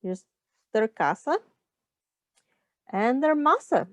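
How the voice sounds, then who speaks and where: Woman reading slowly with lively, swooping pitch. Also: no echo. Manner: simple, explanatory, pausing to ask questions. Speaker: children's teacher reading a picture book aloud. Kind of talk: read-aloud storytime for young children.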